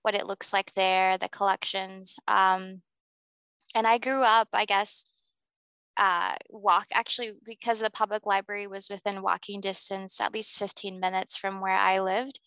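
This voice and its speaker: A young woman from Missouri chats in a relaxed way as a guest. Her voice is bright and smooth with a gravelly edge, and it sounds dry and close. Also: mid-range voice, unhurried, no room sound, poor audio. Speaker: community liaison guest.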